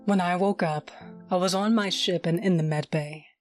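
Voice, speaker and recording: A woman narrating an audio drama in first person as a tough, wry smuggler, soft when tender. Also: on the low side, steady tone, normal speed, soft background music.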